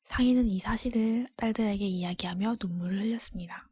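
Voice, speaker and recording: A woman reading a fairy tale aloud for ASMR in a breathy, unvoiced whisper, gentle and measured, close to the microphone. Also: medium pitch, no echo. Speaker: storybook reader. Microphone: close microphone, dry.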